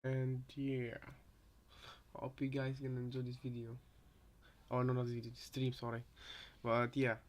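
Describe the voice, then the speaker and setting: A man talks casually as he reacts on a livestream. His voice sits at a middle pitch, and his delivery is hesitant and rambling as he works out his thoughts. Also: monotone, normal speed. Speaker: streamer. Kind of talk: casual live reaction commentary.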